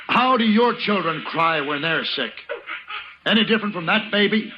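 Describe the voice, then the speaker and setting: Tough, principled Western lead, firm and animated, muffled vintage radio drama sound. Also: male voice, sits high, moderate pace. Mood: defiant. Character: tough, principled Western hero, protective and commanding. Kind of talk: 1950s radio drama acting. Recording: muffled, noisy old radio recording.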